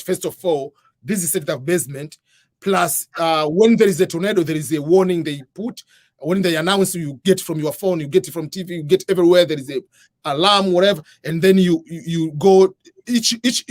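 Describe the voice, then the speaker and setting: A man's voice: a little high, no echo, clean studio sound. Man giving casual advice on a livestream. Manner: informal, peppered with "uh" and "whatever". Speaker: livestream host answering viewer questions.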